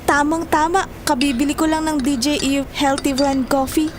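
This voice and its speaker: Casting: actress as brash young Filipino-accented student friend, extremely high voice, teasing banter, slight noise. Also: female voice, fairly even intonation, moderate pace, lightly acted. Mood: playful, bossy. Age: young adult. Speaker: voice actor. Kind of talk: Tagalog audio drama acting, banter. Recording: faint background noise.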